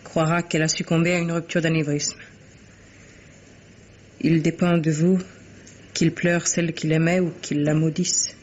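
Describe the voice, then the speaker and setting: A man plays a stern, devoted old governess in a vintage French radio drama, heard through a dull recording with background noise. The pitch stays flat, and the delivery is solemn, terse and commanding. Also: unhurried, long pauses, lightly acted. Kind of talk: 1950s French radio mystery acting.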